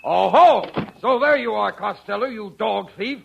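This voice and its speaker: An irate, accusing shopkeeper from 1940s radio comedy, blustering in a high, creaky voice. The old recording sounds muffled. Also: male voice, lively intonation, normal speed, over loud music, exaggerated voice. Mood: angry. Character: angry, accusing male pet-shop owner.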